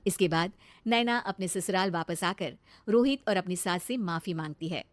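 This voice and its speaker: A woman's voice: on the low side, moderate pace, no room sound. A woman narrating a scripted storybook tale.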